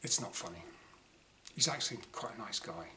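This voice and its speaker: Male author, bright forceful voice, dramatic story reading, clipped phrasing, background noise. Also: fairly low pitch, animated, brisk. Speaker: author reading his own short story. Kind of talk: dramatic first-person short story reading, diary entries. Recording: clearly noisy room ambience.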